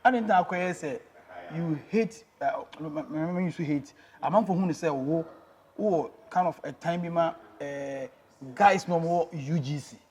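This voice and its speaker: A man's voice: fairly high pitch, moderate pace, a little mellow, slight background noise. A man with a Ghanaian accent, an interviewer who keeps up short backchannels and puts probing questions to his guest.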